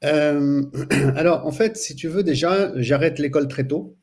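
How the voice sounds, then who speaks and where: A man in his forties from France, a teacher telling his story as a guest in a relaxed interview, pausing often with fillers. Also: on the high side. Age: 40s. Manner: hesitant, frequent pauses and fillers. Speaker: guest, Islamic studies teacher. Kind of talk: casual interview chat.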